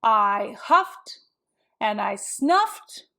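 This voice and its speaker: Woman as a slow-talking, self-justifying storybook wolf. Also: medium pitch, heavily acted. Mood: indignant. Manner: expressive, conversational, self-justifying. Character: defensive, folksy wolf telling his side. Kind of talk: first-person storybook read-aloud for children.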